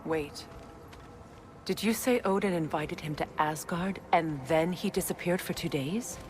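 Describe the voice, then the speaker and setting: A female actor plays a regal, wary goddess in a video game. Her voice is low, firm and cautionary, with clearly audible ambient noise behind it. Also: measured pace, darkish tone, natural voice. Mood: measured, concerned.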